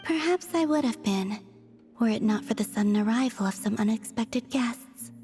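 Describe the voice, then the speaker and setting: A woman playing a serene, mysterious young woman in a video game, gently aloof, with soft, measured, formal phrasing. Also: fairly high pitch, animated, soft background music, natural voice. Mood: calm, wistful.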